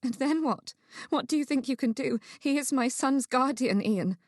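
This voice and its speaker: A woman's voice: medium pitch, level tone, moderate pace, no echo, studio quality, natural voice. A woman voicing an anxious, strong-willed young mother in a Regency romance audiobook, urgent and formally worded. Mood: anxious, doubting.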